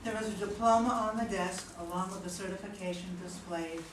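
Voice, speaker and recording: A woman's voice: lowish voice, steady tone, brisk. A woman reading a play's cast list and stage directions aloud as narrator. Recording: echoey room, far mic, clear background noise.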